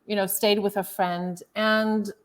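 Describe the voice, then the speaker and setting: A woman's voice: medium pitch, flat delivery, measured pace. A woman from Turkey in her thirties, a guest coach telling her life story in a loose, chatty way with many fillers. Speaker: guest, author and transformational coach. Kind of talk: casual interview chat.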